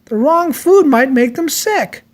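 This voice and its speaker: A stern male zookeeper from a children's storybook, acted out by a narrator. He speaks with commanding, urgent emphasis, pitched up above ordinary narration as he scolds. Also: expressive, measured pace. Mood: scolding.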